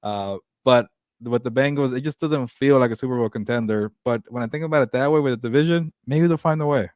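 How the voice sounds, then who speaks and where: A man's voice: medium pitch, a little fast, darkish tone, no room sound. A man talks sports on a casual show. He teases his co-host and stumbles over repeated words, recorded close and dry. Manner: teasing, hesitant, repeats words.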